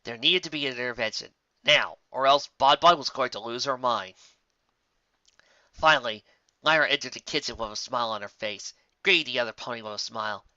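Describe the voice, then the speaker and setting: A man's voice: mid-range voice, fairly quick, pushed and bright, dry recording, lo-fi sound. A man reading a scripted fictional story aloud as narrator. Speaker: story reader.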